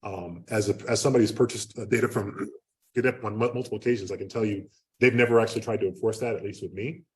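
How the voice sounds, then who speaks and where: A man giving an unscripted academic lecture in a loud, projecting voice, talking conversationally with lots of fillers and restarts. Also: on the low side, steady tone, fast. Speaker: guest lecturer. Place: lecture room. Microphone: speaking away from the microphone. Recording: distant room mic.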